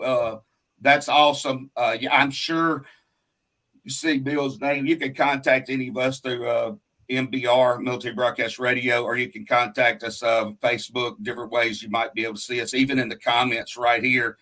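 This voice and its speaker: A man's voice: mid-range voice, bright, forceful tone, rough recording. A man hosting a faith-based talk show in a folksy, talkative, rambling and earnest way. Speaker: radio show host.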